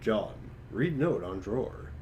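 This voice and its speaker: Low, lively-voiced man over light noise. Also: measured pace, dull audio. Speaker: co-reader of command lines. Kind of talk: casual group reading and chat.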